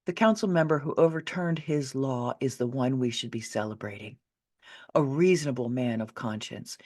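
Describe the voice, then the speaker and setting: A woman with a low voice reads her own book aloud, swinging widely up and down in pitch as she presses her points. Her delivery is emphatic and indignant, full of pointed rhetorical questions and dry, sarcastic asides. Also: darkish tone. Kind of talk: nonfiction audiobook reading.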